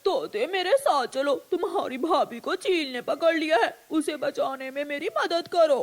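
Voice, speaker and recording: A woman's voice: sits high, expressive, unhurried. A woman voicing a selfish, whiny male crow husband in a cartoon, in a dramatic manner. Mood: panicked.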